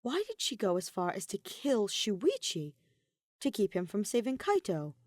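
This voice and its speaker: A woman reading scripted fiction as an expressive audiobook narrator. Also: mid-range voice, moderate pace, dry recording, clean studio sound. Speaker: podfic narrator.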